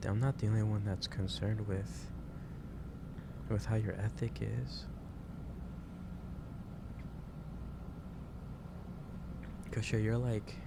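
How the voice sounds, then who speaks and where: A man playing a gentle, concerned office boss in an ASMR roleplay, speaking haltingly and repeating his phrases. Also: on the low side, heavy background noise. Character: gentle, concerned male office boss lecturing an overworked employee. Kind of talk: improvised ASMR roleplay addressed to the listener.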